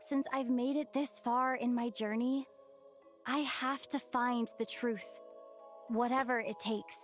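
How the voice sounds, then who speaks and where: An actress playing a composed, determined young traveler in a video game, speaking in a measured, earnest way. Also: female voice, a little high, fairly even intonation, soft background music, close to an everyday voice. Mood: resolute. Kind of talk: video game dialogue acting.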